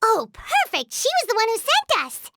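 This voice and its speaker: A woman voicing a tiny, excitable, childlike fairy companion in a video game, with a squeaky, chirpy, earnest delivery. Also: moderate pace, noisy background, heavily acted. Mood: excited.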